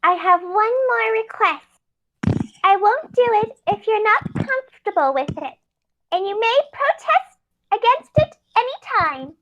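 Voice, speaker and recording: A woman playing a commanding young mistress in an amateur fan fiction reading. Her voice sits very high and bright, with formal, deliberate phrasing. The recording is slightly dull and lo-fi. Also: expressive, heavily acted. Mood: coaxing, commanding.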